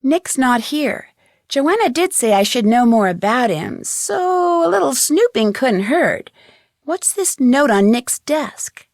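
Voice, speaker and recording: A curious young female sleuth speaks in a high, articulate voice, close and dry like a studio game recording. She sounds plucky and inquisitive, as if reasoning through a mystery aloud. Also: very animated, takes it slowly, no echo, heavily acted. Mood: curious, musing. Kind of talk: scripted video game voice acting.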